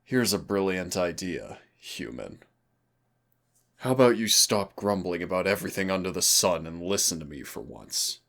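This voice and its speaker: A predatory demon acted by a man drills his human trainee in an audio roleplay. His voice sits very low with a heavy gravelly creak. He taunts with mock courtesy and gives orders with smug, dangerous ease. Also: sing-song, moderate pace, fairly bright tone, exaggerated voice. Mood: commanding, taunting. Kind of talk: scripted roleplay audio acting.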